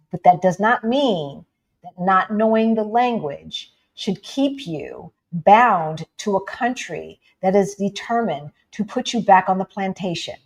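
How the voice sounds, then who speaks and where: A woman from the United States co-hosting a livestream, talking casually and with energy, laughing often and calling her viewers "y'all". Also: fairly low pitch. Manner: animated, laughing, addresses audience as y'all. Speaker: livestream co-host.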